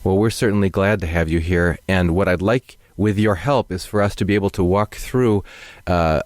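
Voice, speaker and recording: A male host welcoming a guest and asking long, measured questions, often pausing with fillers. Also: deep voice, darkish tone, no room sound, clean studio sound. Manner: measured, wordy, many fillers. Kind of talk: interview hosting.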